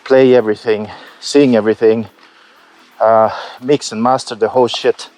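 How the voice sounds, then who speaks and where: A man talking through his creative doubts in an unscripted vlog, halting and self-critical, with many fillers and restarts. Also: mid-range voice, flat delivery, a little mellow. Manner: candid and self-critical, with occasional swearing. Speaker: solo musician vlogging his album process.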